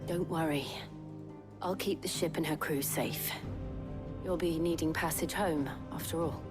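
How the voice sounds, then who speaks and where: A woman playing a calm, steadfast young warrior in a fantasy video game, with a reassuring, composed manner. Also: low voice, a little slow, over music. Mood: calm, assuring.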